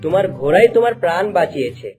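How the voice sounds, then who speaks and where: Lively, confident young fairy-tale hero played by a man. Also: fairly high pitch, rapid-fire, faint music behind. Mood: kindly, determined. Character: brave, kindly young hero with a magic wand. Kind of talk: cartoon story dubbing.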